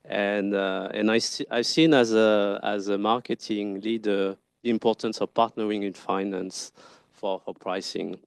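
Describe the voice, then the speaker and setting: A man with a French accent, introducing himself as a guest speaker at a conference talk, polite and pausing often with fillers. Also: fairly low pitch, fairly even intonation, gravelly, smooth, no room sound. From France. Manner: polite, frequent filler pauses. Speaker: guest speaker, branding firm founder. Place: event stage.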